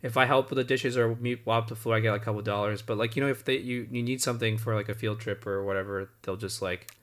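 A man's voice: lowish voice, fairly even intonation, fast talker, fairly bright tone. A young man co-hosting a podcast in a casual, easygoing, slightly hedging way. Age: young adult. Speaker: podcast co-host. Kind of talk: casual chat.

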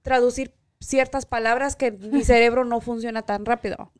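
A woman's voice: fairly high pitch, fairly even intonation, normal speed. Easygoing young woman. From Chicago. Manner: easygoing, code-switching, jokey. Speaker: co-host, bank worker. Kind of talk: casual chat.

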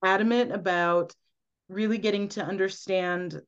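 A woman's voice: medium pitch, steady tone, moderate pace, clean tone, muffled recording. A woman guest on a podcast, earnestly explaining at length and often checking in with "right?". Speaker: guest, medical intuitive.

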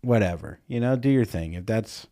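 A man's voice: fairly low pitch, lively intonation, moderate pace, mellow. A young man co-hosting a podcast, chatting casually, telling loose stories, teasing and swearing freely. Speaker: podcast co-host. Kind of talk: casual chat.

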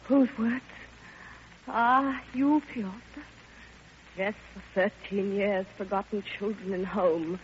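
Old radio drama: lovelorn older woman played by an actress, impassioned and halting, very unhurried, muffled vintage recording with music. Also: mid-range voice, steady tone, a little hiss or hum, lightly acted. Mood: anguished confession. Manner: impassioned, theatrical, halting delivery. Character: grieving, lovelorn old woman pouring out her heart. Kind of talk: classic radio drama monologue.

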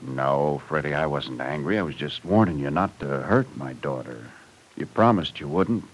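A man's voice: a bass voice, animated, moderate pace, a little hiss or hum. An actor playing an earnest, protective, fatherly middle-aged rancher who speaks properly in an old-time radio serial.

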